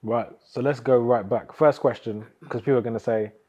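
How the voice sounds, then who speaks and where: Male interview host, probing questions, mellow voice, muffled audio. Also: mid-range voice, fairly even intonation. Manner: probing, quick follow-up questions. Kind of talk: casual interview conversation.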